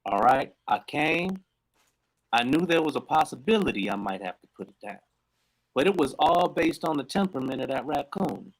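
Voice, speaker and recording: A man's voice: medium pitch, sing-song, moderate pace, poor audio. A man co-hosting a casual talk show, telling a story in a laid-back way and often repeating words. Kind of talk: casual chat.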